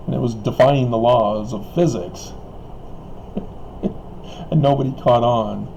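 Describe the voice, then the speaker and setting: A man's voice: mid-range voice, animated, drawn-out words, vocal fry, pauses often, a little mellow, a little hiss or hum, low-quality recording. A man talking in a rambling solo monologue, chuckling and hesitating often while his voice wears out and he coughs. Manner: chuckles often between remarks; hesitant, many fillers and restarts. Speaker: online video commentator.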